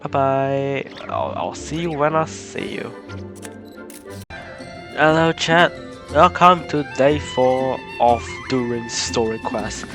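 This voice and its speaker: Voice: mid-range voice, expressive, slow pace. A game streamer giving casual, informal commentary while sick with flu. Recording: game music behind voice. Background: clear game music.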